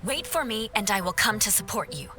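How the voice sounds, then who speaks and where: A woman's voice: medium pitch, animated, over music, natural voice. A woman voicing a composed, stern but protective young knight in an anime video game, with formal, precise speech. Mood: firm, protective. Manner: formal, precise, measured wording.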